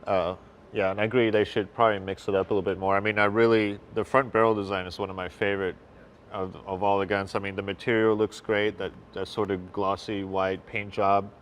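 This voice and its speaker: A man's voice: lowish voice, level tone, brisk, slight background noise. A man on a judging panel, giving matter-of-fact feedback. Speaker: judge. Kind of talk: panel critique.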